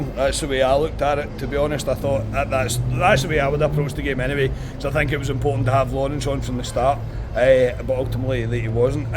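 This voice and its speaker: A hoarse man gives blunt, opinionated football verdicts in a mid-pitched voice. He speaks outdoors, with clear street and traffic noise around him. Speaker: podcast host. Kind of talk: casual football chat. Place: outdoors on a street after a match.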